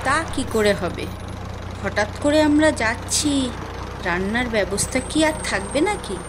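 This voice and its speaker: Woman playing high-voiced village mother, reverberant. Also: animated, normal speed, background noise, close to an everyday voice. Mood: questioning, concerned. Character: practical young village mother and wife, caring, fretful. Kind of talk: cartoon dialogue. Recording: long reverb on voice.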